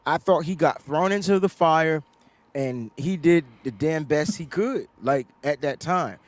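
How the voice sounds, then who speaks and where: A middle-aged man hosting a casual sports talk livestream, loud and animated, laughing often, into a desk microphone in a home studio. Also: high voice, no room sound. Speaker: sports show host.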